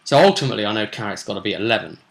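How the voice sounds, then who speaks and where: A man's voice: fairly low pitch, expressive, racing, vocal fry. A man with a British accent giving a casual recap as a game master, explaining in a rambling, colloquial, stop-start way. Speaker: game master recounting sessions. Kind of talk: casual session recap vlog.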